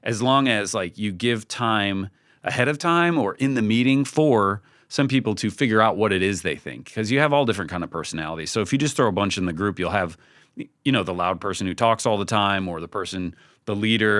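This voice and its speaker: A man giving casual, conversational advice as a podcast guest, informal and halting, often acting out bits of imagined dialogue. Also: lowish voice, a bit bright, dry recording, studio quality. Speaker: podcast guest, creative team leader.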